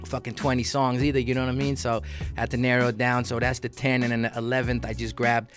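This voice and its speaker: A man's voice: medium pitch, little pitch movement, fast. A man in his thirties, a rapper guest on a studio radio show, talking in a laid-back, slangy way. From grew up in Amsterdam, born in LA. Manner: laid-back, slangy, says "you know what I mean" a lot. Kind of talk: casual studio radio interview. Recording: music bed playing behind. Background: hip hop music.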